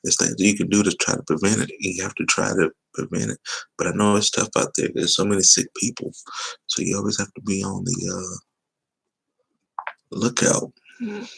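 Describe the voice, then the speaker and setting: A man chatting loosely and teasingly on a sports talk livestream, with a voice he says is going hoarse. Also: low-pitched, expressive, moderate pace, pauses often, fairly bright tone, rough recording. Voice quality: gravelly, husky. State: losing his voice. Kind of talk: casual livestream chat.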